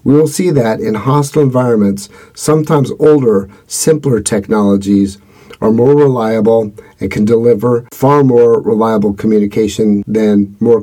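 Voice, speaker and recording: A man hosting a podcast, reading a scripted explanation in an earnest, measured way. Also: lowish voice, dark tone, faint music behind, low-quality recording. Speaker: podcast host.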